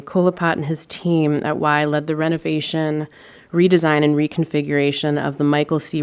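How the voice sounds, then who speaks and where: A woman with a notably low voice reads a prepared introduction for a lecture guest. She speaks into a stage microphone in a measured, welcoming tone. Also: darkish tone, clean tone. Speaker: event host introducing the speaker. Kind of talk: read introduction. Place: lecture hall.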